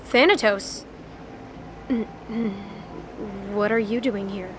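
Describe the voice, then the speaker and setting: An actress playing a tall, guarded goddess in an audio drama, curt and defensive but caring. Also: female voice, mid-range voice, slow, noisy background, lightly acted. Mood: startled, defensive.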